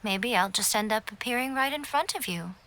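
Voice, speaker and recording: A woman's voice: on the high side, lively intonation, brisk, pushed and bright, slight background noise. A woman voicing a sly fox-spirit shrine priestess in a video game, silky, teasing and knowing.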